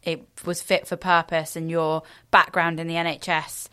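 A woman's voice: low voice, level tone, normal speed, bright, forceful tone, clear, resonant tone. Woman hosting a podcast. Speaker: podcast host. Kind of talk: podcast introduction and interview.

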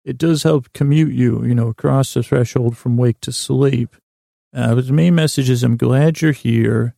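A man hosting a sleep podcast in a rambling, halting bedtime monologue, losing his thread and restarting in a gravelly voice. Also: mid-range voice, dark tone, no room sound, clean studio sound. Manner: meandering, halting, self-interrupting, absent-minded.

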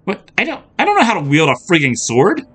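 A man voicing a glib, excitable young woman, a reluctant storybook heroine with casual modern speech. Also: medium pitch, slow pace, faint music behind, pitched above the performer's own voice, exaggerated voice. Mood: bewildered.

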